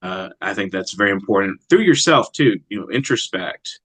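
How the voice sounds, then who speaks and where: Bright, low-pitched man, dry sound. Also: lively intonation, moderate pace, no echo. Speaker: poet being interviewed. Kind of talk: conversational interview with brief poem reading.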